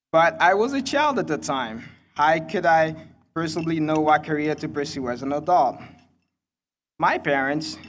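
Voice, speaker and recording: Young Congolese-accented man, higher-pitched, speechmaking. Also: a bit bright, a little hiss or hum. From Kinshasa, Democratic Republic of the Congo. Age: young adult. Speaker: student commencement speaker. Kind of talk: prepared graduation speech.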